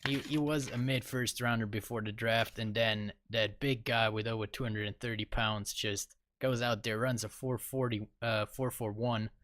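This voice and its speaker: A man's voice: on the low side, fairly even intonation, moderate pace, few pauses, a bit bright, no room sound. A young man co-hosting a sports podcast, giving casual, rambling analysis with lots of fillers. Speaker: podcast co-host.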